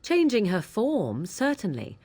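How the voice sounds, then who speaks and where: A woman's voice: medium pitch, huge pitch swings, measured pace, warm tone, slightly rough, heavily acted. An actress playing an aloof, proud young witch shapeshifter in a fantasy game, with archaic, formal and haughty phrasing. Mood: wry, aloof.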